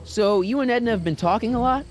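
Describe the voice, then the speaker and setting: A man's voice: extremely high pitch, fast talker. An actor playing a friendly, curious teenage boy in a video game, talking in a casual, wry way. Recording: music under the voice.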